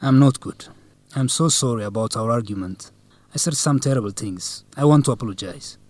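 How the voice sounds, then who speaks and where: A man's voice: medium pitch, a little fast, darkish tone, no echo, natural voice. A man acting as a hurt, jealous young student boyfriend in a radio drama, earnest and reproachful. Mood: apologetic, tender. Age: young adult. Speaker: actor in an educational radio drama.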